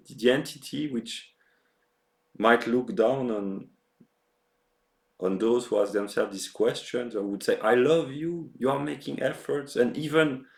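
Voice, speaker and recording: Gravelly, halting man in an echoey room. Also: mid-range voice. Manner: halting, repeats words and phrases. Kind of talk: reflective personal monologue. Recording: roomy, echoing sound.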